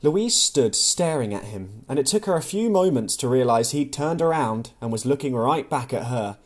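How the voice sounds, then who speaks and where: Expressive male audiobook narrator, varied pitch. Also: moderate pace. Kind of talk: scripted literary narration.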